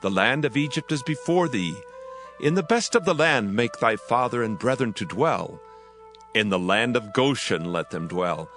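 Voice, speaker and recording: A man voicing a stately ancient king who speaks in a measured, regal way in a dramatized scripture reading. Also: mid-range voice, expressive, fairly bright tone, faint music behind, close to an everyday voice.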